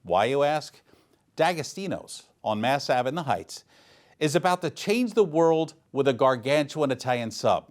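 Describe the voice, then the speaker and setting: A man's voice: mid-range voice, very animated, moderate pace. A man anchoring local news, reading scripted stories in a playful, mock-dramatic way. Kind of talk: scripted news narration with light humor.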